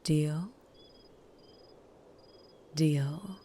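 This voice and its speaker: Casting: woman to play a sultry Southern US female vampire biker for ASMR roleplay; low register, unhurried, teasing and guarded. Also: steady tone, mellow, full character voice. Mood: intimate, teasing. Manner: slow, low, teasing, lapsing into breathy whispers. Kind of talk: ASMR roleplay, scripted character acting.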